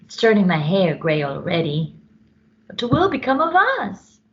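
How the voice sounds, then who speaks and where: A worn-down storybook dad, voiced by a woman reading aloud, lamenting in a swooping pitch. He is harried and despairing, and the sound is a little dull. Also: big pitch swings, unhurried, a little hiss or hum. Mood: weary despair. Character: harried new father George, despairing and worn down. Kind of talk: storybook character voice.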